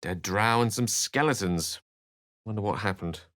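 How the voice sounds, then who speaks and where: A male actor plays a learned wizard from a fantasy video game. He sits on the low side in pitch and talks with literary polish. His temper is wry, romantic and dramatic, and every phrase is carefully articulated for the stage. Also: moderate pace, a bit bright, close to an everyday voice. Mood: wry, musing. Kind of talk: video game character voice acting.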